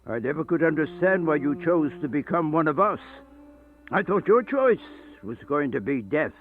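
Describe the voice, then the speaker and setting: A man's voice: fairly high pitch, sing-song. An actor playing an aged, sickly male vampire, bitter and grandiose, in theatrical, declamatory radio horror style. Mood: brooding, menacing. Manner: theatrical, declamatory, drawn-out phrasing. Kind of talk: radio horror play acting. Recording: thin phone-like band-limited audio with music.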